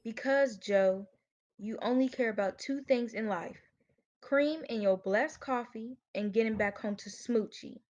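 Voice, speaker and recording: A woman's voice: on the high side, measured pace, darkish tone, dull audio, natural voice. A woman playing an indignant, dramatic jilted gangster's moll in a student radio play.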